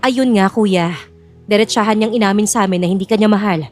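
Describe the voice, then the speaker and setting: A woman's voice: mid-range voice, a little fast, darkish tone, soft background music, lightly acted. A woman playing a scheming middle-aged sister-in-law in an audio drama, insinuating and sugary, with a Filipino accent.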